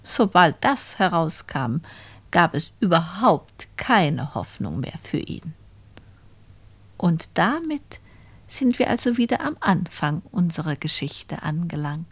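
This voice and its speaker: A sly, lively-voiced spinster murderess. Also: female voice, lowish voice, breathy edge, dry recording, full character voice. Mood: confiding, knowing. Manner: deliberate, confiding, addressing listeners directly. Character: sly, gloating poor spinster who narrates her own murder. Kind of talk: German radio play monologue, storytelling.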